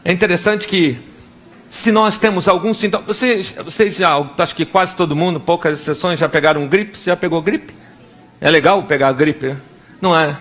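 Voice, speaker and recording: High, bright-voiced Brazilian pastor. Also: male voice, moderate pace. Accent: Brazilian Portuguese. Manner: animated, acts out little scenes and prayers with voices. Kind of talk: sermon.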